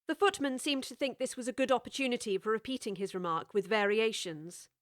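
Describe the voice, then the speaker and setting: A woman narrating a storybook with clear, expressive scripted storytelling. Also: on the high side, a little fast, no echo, clean studio sound.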